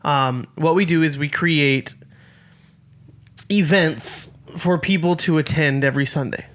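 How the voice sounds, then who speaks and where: A man's voice: on the high side, measured pace, a bit bright. Dry-humored man in his twenties. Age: 20s. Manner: dry, self-deprecating humor. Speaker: podcast co-host. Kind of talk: casual chat.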